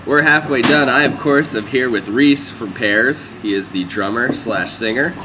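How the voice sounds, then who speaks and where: A man's voice: medium pitch, moderate pace, background noise. A man hosting a casual radio interview, asking playful, joking questions. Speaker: radio host.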